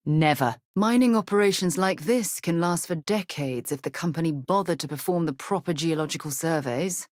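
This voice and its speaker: A woman's voice: low-pitched, darkish tone, no echo, studio quality. A woman with a British accent playing a composed, principled space explorer in a video game, speaking formally and earnestly with careful articulation. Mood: serious, measured. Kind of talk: video game voice acting, dramatic dialogue.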